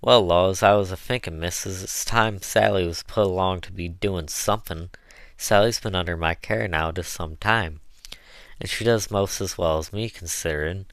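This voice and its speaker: A man's voice: deep voice, normal speed, a bit bright, no room sound. A man voicing an eager, good-humored woman, an enslaved cook, in folksy dialect for an audiobook. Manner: folksy dialect with mispronounced words. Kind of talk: audiobook character voice.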